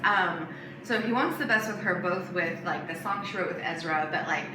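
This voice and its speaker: A woman's voice: fairly low pitch, moderate pace. A woman guest on a podcast, chatting casually and informally with hesitant phrasing. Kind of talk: casual chat about a novel. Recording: echoey room, distant mic, noisy.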